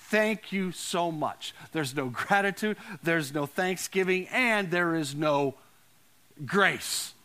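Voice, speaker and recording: A man's voice: high-pitched, sharp tone. A man preaching a conversational sermon as a pastor, repeating phrases for emphasis and putting questions to his listeners.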